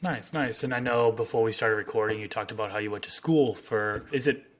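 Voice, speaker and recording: A man in his thirties with a slightly raspy voice, hosting a podcast, reading sponsor spots steadily and chatting casually with a guest, close on a studio microphone at home. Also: lowish voice, brisk. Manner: steady, list-reading delivery. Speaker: podcast host. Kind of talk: read sponsor ads, then casual interview. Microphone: studio microphone on arm.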